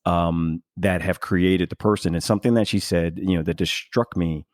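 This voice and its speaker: Man, low-pitched voice, dry close sound, podcast host, reflective, halting, earnest delivery. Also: steady tone, darkish tone, no echo. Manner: halting, searching for words, earnest. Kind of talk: casual reflective conversation.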